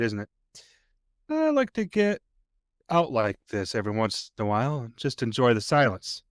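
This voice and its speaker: An actor playing a chatty, folksy local sheriff's deputy in an audio play, telling things in a colloquial way. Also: male voice, mid-range voice, dry recording, clean studio sound. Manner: folksy, colloquial, unhurried storytelling. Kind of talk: naturalistic audio drama dialogue.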